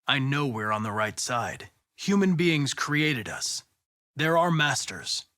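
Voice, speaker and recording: A man's voice: medium pitch, very animated, slow pace, sharp tone, heavily acted. An American man playing a polite, analytical young android detective in a video game, earnest and determined, with a precise, formal delivery. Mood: soft regret.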